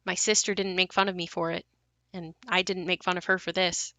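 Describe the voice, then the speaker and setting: A woman's voice: on the low side, flat delivery, brisk, sharp tone, no echo. An actress playing a young woman who recalls her haunted family home in a confiding, wry, matter-of-fact monologue for an audio play. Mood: wry, reflective. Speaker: narrator and lead of an audio play. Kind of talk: first-person dramatic monologue.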